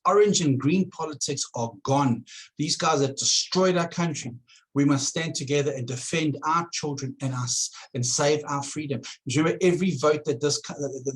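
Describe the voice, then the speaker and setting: A man's voice: medium pitch, poor audio. A man who grew up in South Africa and still carries the accent speaks to voters in an earnest campaign video. He talks in a mid-range voice, often tripping over and repeating words as he starts a new point. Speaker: election candidate.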